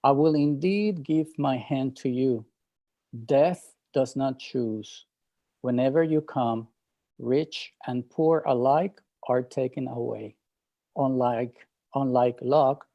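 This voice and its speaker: A man's voice: medium pitch, steady tone, slow, dark tone. A man voicing a poor charcoal burner in a folktale, plain-spoken and firm. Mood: earnest. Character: poor old charcoal burner, blunt and defiant.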